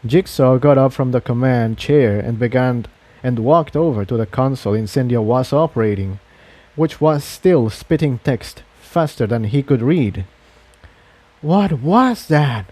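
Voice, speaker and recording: A man's voice: medium pitch, normal speed, dark tone, dry recording. A man reading an adventure story as a dramatic audiobook narration. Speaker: fan fiction narrator.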